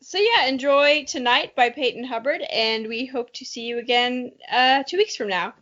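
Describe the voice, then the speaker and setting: A woman's voice: high-pitched, moderate pace, fairly bright tone, muffled recording. A woman hosting a podcast, chatting casually in a jokey, self-deprecating way. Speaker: podcast host. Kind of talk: casual podcast outro chat.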